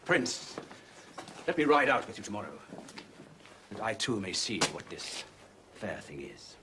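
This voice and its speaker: An actor playing a loyal, anxious lord in an audio drama, speaking formal archaic English in an earnest, courtly way. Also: male voice, lowish voice, big pitch swings, unhurried, noisy background. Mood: urgent concern. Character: loyal, anxious lord counselling his king and prince. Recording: dramatic music behind the voice.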